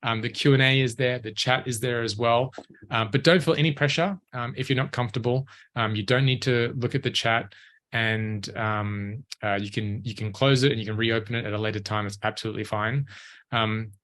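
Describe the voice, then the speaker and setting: A man hosting a webinar, introducing the speakers in a friendly but halting way with many "um" fillers. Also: medium pitch, steady tone, fairly bright tone. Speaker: webinar host and director of operations.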